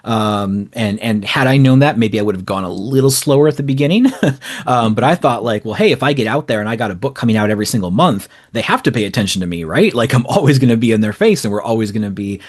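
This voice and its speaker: A man's voice: lowish voice, animated, fast talker. A man giving casual, explanatory interview answers in long, rambling, informal runs as a guest. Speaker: interview guest, small indie publisher.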